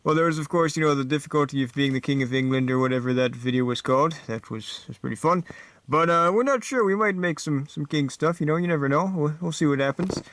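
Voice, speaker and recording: A man's voice: on the high side, quick pace, fairly bright tone, no echo. Guy doing a king on a spoof talk show, casual n sure of himself, kinda mock-instructive. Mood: chatty. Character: pompous male king guest, cool and chatty.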